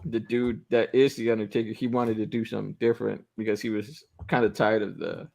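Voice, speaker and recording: Man in his late twenties, lively casual talk. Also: fairly low pitch, brisk, vocal fry. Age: 20s. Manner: enthusiastic, swears, searches for words with fillers. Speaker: podcast co-host. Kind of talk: casual chat.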